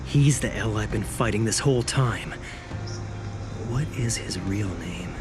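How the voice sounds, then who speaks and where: A self-assured, coldly ambitious young man in an anime dub, played by an actor. He speaks in a measured, intense, lowish voice over loud music. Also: lightly acted. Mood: brooding. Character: cold, arrogant young male schemer with grand ambitions.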